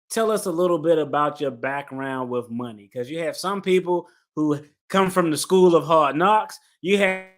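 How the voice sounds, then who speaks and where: Casual male host with a high, bright-toned delivery. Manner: informal, slangy, affirming. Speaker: podcast host interviewing a guest. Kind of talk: casual interview chat.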